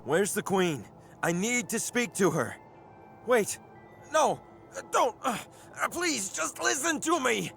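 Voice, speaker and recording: A man's voice: very high voice, very animated, slow pace, gravelly, fairly bright tone, faint music behind, full character voice. An actor playing a desperate, earnest man pleading for a cure for his beloved in a video game. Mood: frantic pleading. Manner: urgent, pleading, emotive. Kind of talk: dramatic video game acting.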